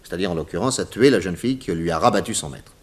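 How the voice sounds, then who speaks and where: Give a 1960s radio serial its detective boss. He is confident and professorial, with a lowish voice that rises and falls dramatically. He stresses key words and pauses for theatrical effect. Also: expressive. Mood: emphatic, explanatory. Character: confident male detective boss explaining crimes to his assistant.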